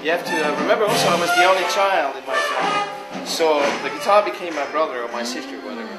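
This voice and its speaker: A man's voice: sits high, very animated, fast. A man from France with a French accent, chatting casually as an interviewed musician, often breaking up his phrases with "you know". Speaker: interviewed jazz guitarist. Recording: echoey space with loud music. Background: loud music.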